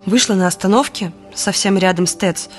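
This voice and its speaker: A weary, wry woman confides her wartime diary in an audio drama, performed by an actress. Her voice sits at a middle pitch and tells it intimately in the first person, with music underneath. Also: brisk, close to an everyday voice. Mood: tense, weary.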